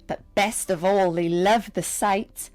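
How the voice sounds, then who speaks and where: A woman reading a picture book aloud to young children, expressive and speaking straight to her listeners. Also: medium pitch, slow. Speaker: storyteller and teacher reading to children. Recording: music under the voice. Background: music and sound effects.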